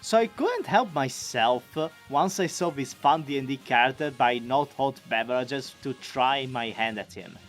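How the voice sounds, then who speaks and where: A man giving an enthusiastic, expressive, chatty scripted recap as a video creator. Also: mid-range voice, moderate pace, hardly any pauses, faint music behind. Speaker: video creator and amateur voice actor.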